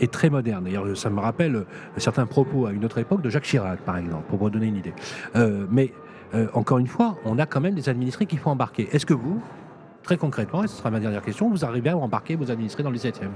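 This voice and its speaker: A man hosting a radio interview, agreeable and full of quick affirmations. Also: medium pitch, lively intonation, darkish tone, a little hiss or hum.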